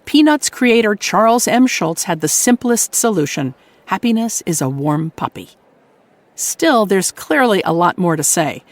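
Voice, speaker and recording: A woman hosting a radio program, reading a scripted introduction to short fiction in a polished narrating style. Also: mid-range voice. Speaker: radio show host.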